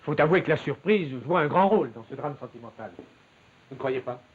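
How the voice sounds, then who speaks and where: A man's voice: a little high, animated, normal speed, close to an everyday voice. An actor playing a serious, stubborn, lovesick young man in a 1950s television melodrama, speaking formally and earnestly. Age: young adult. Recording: dull, faintly noisy 1950s television soundtrack.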